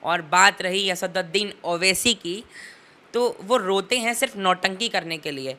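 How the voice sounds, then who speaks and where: A man's voice: high voice, a little slow, sharp tone. A teenage young man giving impassioned political commentary in an interview, emphatic and oratorical, leaning on rhetorical repetition.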